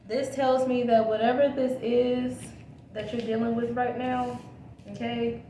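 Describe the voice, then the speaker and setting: A woman's voice: fairly high pitch, level tone, unhurried, dark tone, echoey room. A woman giving a casual tarot reading to her viewers, repeating reassurances and checking in with "okay?", her voice a little scratchy from a coming cold. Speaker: tarot reader.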